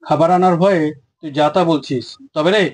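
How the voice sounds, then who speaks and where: A man voicing a hungry, bullying tiger in a children's cartoon fable, husky, menacing and imperious. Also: a little high, normal speed, no echo, lightly acted.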